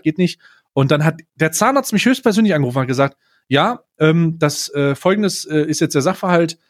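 A man telling anecdotes casually as a podcast co-host, blunt and slangy, dropping in bits of English. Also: on the high side.

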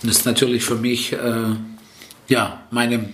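A man's voice: medium pitch, flat delivery, unhurried, a bit bright. Italian-born man, rambling and roomy-sounding. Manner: rambling, lots of fillers and self-corrections, tag "ne?". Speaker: festival tent jester and stage host. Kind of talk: relaxed interview answers. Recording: roomy echo with faint background noise.

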